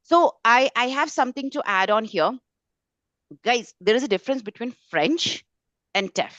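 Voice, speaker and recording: A woman's voice: fairly high pitch, animated, moderate pace, fairly bright tone, no echo. A woman speaking informally as a tutor in an online webinar, stressing points emphatically through repetition. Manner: emphatic, repeats words and phrases for stress. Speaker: French tutor hosting a webinar. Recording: slightly dull, lo-fi webinar audio.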